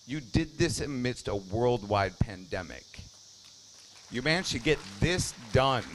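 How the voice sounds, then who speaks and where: A man speaking informally at an outdoor ceremony, energetic and congratulatory, restarting phrases as he goes. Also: mid-range voice. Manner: energetic, congratulatory, repeats himself. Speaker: funding partner speaker. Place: outdoors at a ribbon cutting. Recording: faint outdoor noise with crickets.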